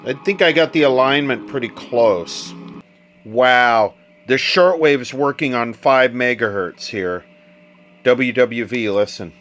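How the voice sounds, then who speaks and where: A man talks slowly through a hands-on repair job, thinking aloud as he goes. His remarks are short and unscripted, in an ordinary mid-range voice, with faint sound from a radio behind him. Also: medium pitch, a bit bright, soft background music. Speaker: radio repair hobbyist and video host.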